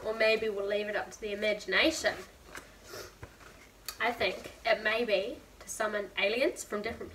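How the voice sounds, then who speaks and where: A woman in her twenties reading a picture book aloud to young children, expressive and asking listeners questions. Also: a little high. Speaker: preschool storytime reader. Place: indoor room, seated beside a whiteboard. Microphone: camera a few feet away. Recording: distant camera mic, faint room noise.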